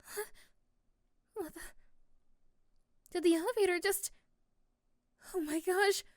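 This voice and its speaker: A woman's voice: very high voice, slow pace, a little mellow, dry recording, clean studio sound. A woman playing a sweet, anxious young office worker and crush in an ASMR roleplay drama. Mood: panicked.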